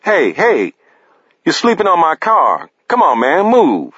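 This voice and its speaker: A man's voice: lowish voice, lively intonation, normal speed, fairly bright tone, breathy edge, no echo, muffled recording. A man voicing a frustrated young night clerk who sounds exasperated and put-upon, in an audiobook. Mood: shouting.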